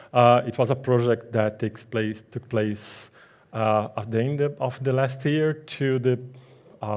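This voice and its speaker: A man with a Brazilian Portuguese accent, a native Portuguese speaker, presents a technical talk at a conference. His voice sits at an ordinary pitch for a man. He talks in halting phrases, often pausing on fillers and restarting his sentences. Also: a little mellow. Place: conference hall.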